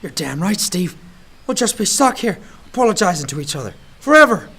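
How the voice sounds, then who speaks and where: Man as an earnest, higher-pitched policeman narrator. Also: sing-song, fairly quick, fairly bright tone, slight background noise, heavily acted. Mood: exasperated. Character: earnest, over-polite Canadian policeman narrating his adventure. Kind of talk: scripted comic radio play narration.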